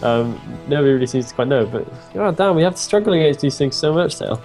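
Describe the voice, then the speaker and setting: A man's voice: a little high, animated, fast talker, darkish tone, faint music behind. A man with a British accent co-commentating an esports match in a wry, self-mocking, chatty way. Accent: British English. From Britain. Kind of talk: casual co-commentary.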